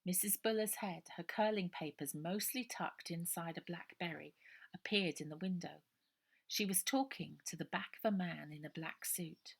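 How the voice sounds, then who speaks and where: A woman's voice: lowish voice, normal speed, creaky, husky. A woman reading a children's novel aloud as scripted story narration.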